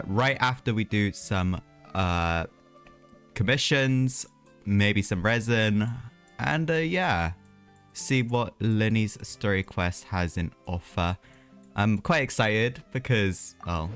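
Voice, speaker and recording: A man's voice: on the low side, a bit bright. A man chatting casually and ramblingly as he streams a video game, talking to his viewers. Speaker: live streamer. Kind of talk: casual gaming livestream chat. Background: music.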